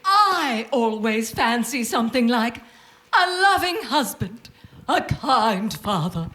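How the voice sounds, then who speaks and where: A woman's voice: fairly high pitch, big pitch swings, slow pace, pushed and bright, a little hiss or hum, exaggerated voice. A woman playing a blunt, penny-pinching middle-aged wife, sardonic and indignant, in a live radio play. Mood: dry, sardonic. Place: theatre stage before an audience.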